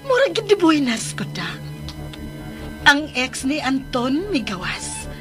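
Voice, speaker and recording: Casting: menacing Filipino extortionist, radio melodrama; high, widely swinging pitch, taunting. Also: female voice, big pitch swings. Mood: sly, gloating. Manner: drawn-out, taunting. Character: scheming, menacing extortionist woman, a radio villain. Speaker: radio drama actress. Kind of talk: theatrical radio villain acting. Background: music.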